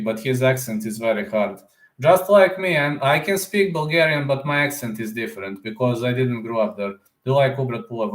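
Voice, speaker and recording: Rambling man on a live stream, roomy echo. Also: medium pitch. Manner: rambling, answers viewer comments aloud; repeats words, often ends with "man". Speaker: combat sports YouTuber. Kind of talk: casual live stream chat.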